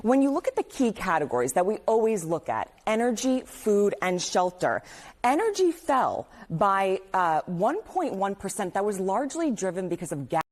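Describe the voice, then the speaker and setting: A woman's voice: medium pitch, big pitch swings, moderate pace, darkish tone. A woman reporting live as a news correspondent, explaining figures point by point.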